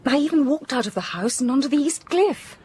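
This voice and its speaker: A woman's voice: sits high, lively intonation, fairly quick, warm tone, a little hiss or hum. An actress playing a genteel, frail young Victorian lady in an audio drama, brave but weary and hesitant. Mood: uneasy. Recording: faint background ambience.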